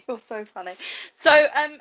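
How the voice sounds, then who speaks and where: Female interviewer, higher-pitched and very bright, chatting casually on lo-fi sound. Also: animated, a little slow, crowd noise. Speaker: interviewer, host. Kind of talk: casual chat.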